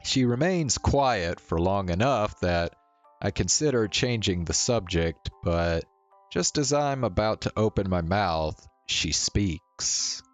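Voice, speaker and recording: A man reading a visual novel aloud as first-person narration, in a measured, conversational way. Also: on the low side, expressive, faint music behind. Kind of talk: first-person story narration with acted dialogue.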